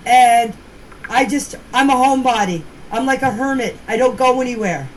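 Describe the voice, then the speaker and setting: Higher-voiced woman in noisy voice-message clips. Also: normal speed. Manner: plain-spoken, rambling, folksy phrasing. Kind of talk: casual personal account in recorded voice messages.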